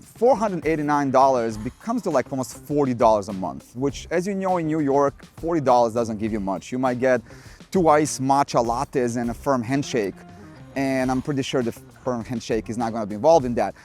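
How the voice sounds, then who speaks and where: Male photography vlogger, Serbian accent, non-native English, mid pitch, wry self-deprecating delivery, light music bed. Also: lively intonation, a little fast, darkish tone. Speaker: photography YouTuber. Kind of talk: scripted vlog monologue. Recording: faint music underneath. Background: faint music.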